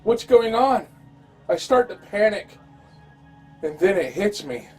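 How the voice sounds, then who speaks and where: Guy doing a scared worn-out zombie survivor telling his story, highish voice, halting n dramatic, music behind. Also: big pitch swings, darkish tone, breathy edge, exaggerated voice. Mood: dazed, anxious. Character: wounded, exhausted, terrified survivor of a zombie horde. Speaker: amateur voice actor. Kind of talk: first-person horror audio drama narration.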